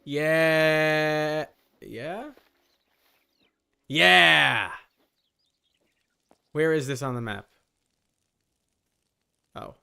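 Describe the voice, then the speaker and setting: Slow-talking man streaming a game. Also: fairly high pitch, vocal fry, sharp tone, smooth. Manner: muttering reactions in short bursts, casually profane. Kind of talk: casual live gameplay commentary.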